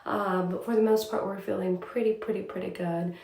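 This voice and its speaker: A young woman who is a news reporter, chatting casually in a vlog, a bit congested and raspy from a cold, with many filler words. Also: medium pitch, steady tone, brisk, very noisy. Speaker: TV news reporter vlogging her day. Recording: echoey room.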